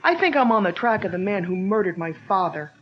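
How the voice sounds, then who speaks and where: Woman, earnest young sleuth, actress, 1940s radio drama, animated pitch, lo-fi old radio sound. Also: a little fast, natural voice. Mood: earnest, urgent. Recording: dull lo-fi old radio recording.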